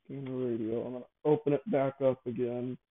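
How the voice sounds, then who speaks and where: A man hosting a casual, improvised show, talking in a halting way, repeating and correcting himself as he explains things. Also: mid-range voice, fairly even intonation. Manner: halting, self-correcting, repetitive phrasing. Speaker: show host. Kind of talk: casual improvised monologue, explaining a device idea. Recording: thin, phone-like narrowband audio.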